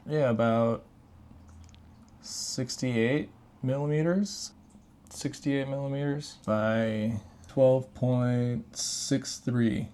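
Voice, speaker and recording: A man's voice: medium pitch, extremely slow, a little mellow, clean tone. A man chatting casually as he gives a hands-on gadget review. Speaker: product reviewer.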